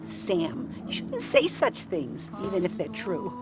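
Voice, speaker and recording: A woman's voice: lowish voice, wildly swooping pitch, slow, loud music behind the voice, heavily acted. A woman playing a sly old small-town lady in a video game, coy, sweet and theatrically doddering. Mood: coy, wheedling. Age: older.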